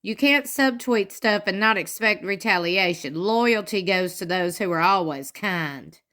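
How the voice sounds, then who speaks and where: A woman, commenting on a reality show, reading fan posts aloud and adding wry asides while sitting in a squeaky chair at home. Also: lively intonation, a little slow, bright, forceful tone. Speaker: commentary vlogger.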